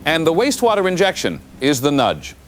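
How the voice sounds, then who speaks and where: An actor playing a studio news anchor who introduces the guest and asks composed, probing questions. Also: male voice, on the high side, wildly swooping pitch, fairly quick, a little hiss or hum. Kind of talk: audio drama news broadcast.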